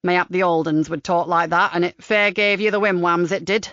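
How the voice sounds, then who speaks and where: A woman voicing a chatty, kindly old countryman gardener with a broad Lincolnshire dialect, slow and lower than her own voice. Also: flat delivery, a bit bright, dry recording, lightly acted. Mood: folksy. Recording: slightly dull dry recording.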